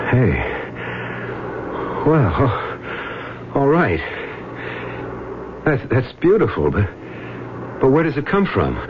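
A man's voice: medium pitch, huge pitch swings, drawn-out words, pauses often, full character voice. An actor playing a bewildered, inquisitive Greek man in an old radio drama, earnest and hesitant as he questions and narrates. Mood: bewildered, curious. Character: bewildered, inquisitive Greek male outsider narrating his adventure. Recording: dull, noisy vintage radio recording.

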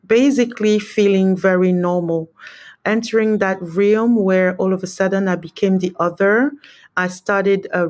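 A woman's voice: medium pitch, dry recording. A middle-aged woman from Cameroon talking reflectively and candidly about her own life as an interview guest. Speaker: interview guest, school principal. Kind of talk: conversational interview, personal recollection.

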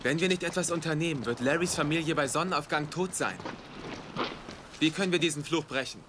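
A man's voice: on the high side, fairly quick, lightly acted. Man acting an earnest young investigator, faint background noise. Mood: grim, urgent. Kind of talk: dubbed TV drama acting.

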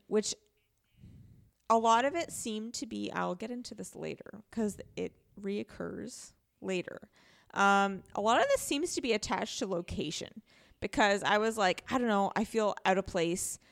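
A woman's voice: lowish voice, creaky. A woman talking casually and informally as a podcast guest discussing a video game, often voicing her own thoughts as she goes.

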